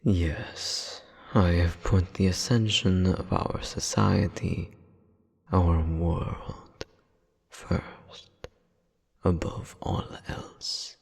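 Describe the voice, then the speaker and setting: A man playing a cold, menacing royal demon brother in an audio roleplay, haughty and deliberate, with a breathy, husky voice. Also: sits low, fairly even intonation, heavily acted. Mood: stern, imperious. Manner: haughty, slow, deliberate, veiled threats. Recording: long reverberant hall echo.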